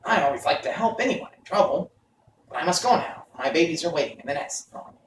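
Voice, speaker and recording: A man voicing a kindly, brisk female stork in a storybook reading. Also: a little high, animated, pitched above the performer's own voice, lightly acted. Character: kindly female stork, helpful talking bird. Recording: roomy, distant, faint noise.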